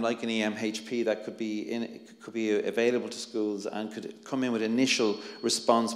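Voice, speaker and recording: Irish man speaking in an echoey hall. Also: lowish voice. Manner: earnest, many restarts and fillers. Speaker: panelist, anti-bullying researcher. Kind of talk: panel discussion. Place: conference hall, seated on stage. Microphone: handheld mic. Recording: echoey hall with long reverb.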